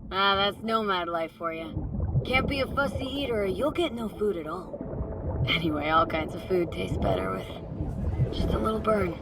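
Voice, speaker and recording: A woman's voice: mid-range voice, expressive, moderate pace, background noise, natural voice. An actress playing a curious, laid-back nomad woman in a video game, casual and dryly teasing. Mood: easygoing. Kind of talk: naturalistic video game acting. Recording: loud music and underwater sounds behind.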